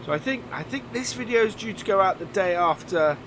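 A man's voice: high-pitched, lively intonation, fairly quick. A man with a slightly nasal voice from a cold coming on, casually commentating a farming game and thinking aloud with many fillers. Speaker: let's play host. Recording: voice-over with clear game vehicle noise.

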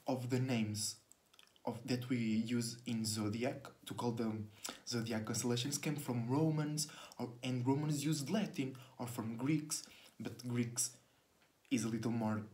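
A man's voice: medium pitch, a little mellow. A man in his twenties, a native Portuguese speaker, musing earnestly on camera in a small bedroom, restarting words often. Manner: earnest and searching, many restarts, gestures widely with both hands. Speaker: astrology vlogger. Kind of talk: casual spiritual monologue to camera. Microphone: laptop webcam close up.

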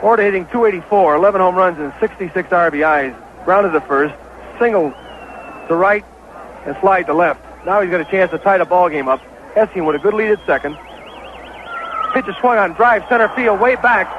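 An American man calling baseball play-by-play on 1970s radio as an excitable, partisan announcer. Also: high voice, fairly quick. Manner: excitable, partisan home-team caller. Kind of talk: 1970s live radio sports commentary. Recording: dull, noisy vintage radio broadcast.

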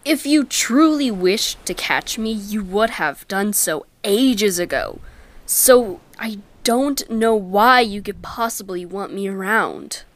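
A woman voicing a guarded, sardonic merperson from the deep sea, dry and teasing, in a dramatic comic dub. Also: expressive, takes it slowly. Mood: wounded.

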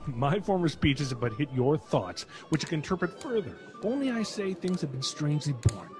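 A man's voice: a little high, very animated, normal speed, music in the background. A man playing a sardonic Scottish lord in a radio drama, speaking with heavy irony. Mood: ironic.

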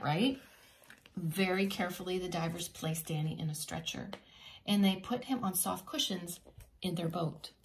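A woman preschool teacher reading a children's picture book aloud in an expressive, teacherly way with simple asides. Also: lowish voice, vocal fry, a little hiss or hum.

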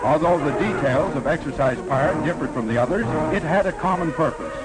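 A man's voice: fairly high pitch, brisk, low-quality recording. A man narrating a scripted 1940s newsreel in a formal, declamatory manner on a vintage film soundtrack. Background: loud orchestral newsreel music.

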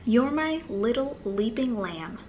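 A woman reading aloud to young children in a sing-song manner with gentle asides. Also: a little high, lively intonation, slow pace, dull audio, noisy background. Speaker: children's storytime host and reader. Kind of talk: read-aloud storytime for toddlers, addressing young children. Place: small living room, on a sofa, indoors.

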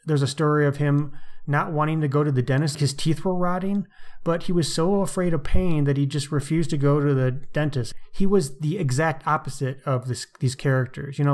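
A man hosting a podcast, discussing books in an informal, opinionated way with slangy asides. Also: a little high. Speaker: podcast host. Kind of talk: casual book discussion.

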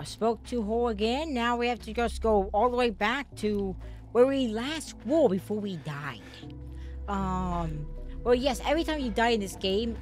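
A woman giving let's play commentary in a rambling, filler-heavy way. Her voice often cracks, and she jumps into loud startled bursts. Game music plays clearly behind her. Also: mid-range voice. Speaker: gaming streamer.

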